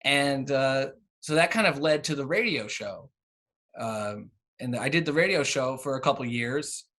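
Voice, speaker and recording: A man's voice: medium pitch, lively intonation, unhurried, fairly bright tone. A man in his thirties being interviewed in a casual, conversational way, with hesitant, rambling phrasing. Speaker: interview guest, cassette exchange organiser.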